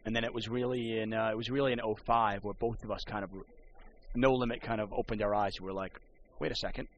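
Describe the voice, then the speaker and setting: A man's voice: lowish voice, flat delivery, quick pace, muffled recording, a little hiss or hum. A man who works as a poker and sports broadcaster, chatting casually on a podcast and rambling with many restarts.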